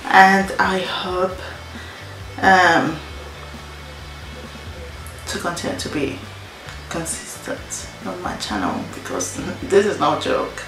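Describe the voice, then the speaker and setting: A young woman whose first language is Spanish, chatting casually to her camera in a story-time vlog. She talks loosely, repeats her own phrases, and fills pauses with many ums. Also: on the low side, very animated. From Spain. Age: young adult. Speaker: vlogger. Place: indoor room against a plain wall. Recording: roomy echo, faint music.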